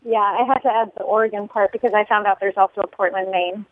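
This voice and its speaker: A woman's voice: medium pitch, fairly even intonation, fast. Chatty woman on a tinny phone line. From United States. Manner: chatty and rambling, laughs often. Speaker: musician guest. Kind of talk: phone interview. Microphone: telephone.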